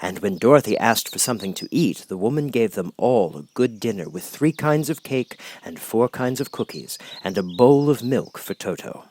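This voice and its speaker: A man reading a children's story aloud as an expressive audiobook narrator. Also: on the low side, moderate pace, few pauses, slight background noise.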